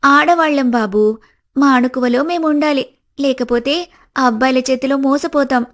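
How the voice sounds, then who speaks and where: Lady voicing a coy money-minded young girlfriend in a cartoon story, pretty high voice. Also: normal speed, a bit bright. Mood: coy, teasing. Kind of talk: scripted cartoon story dialogue.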